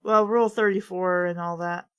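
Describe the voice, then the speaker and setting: A woman's voice: lowish voice, steady tone, moderate pace, fairly bright tone, clear, resonant tone. A woman hosting a casual group reading and discussion of fan fiction. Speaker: podcast host leading the discussion. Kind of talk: casual chat and read-aloud.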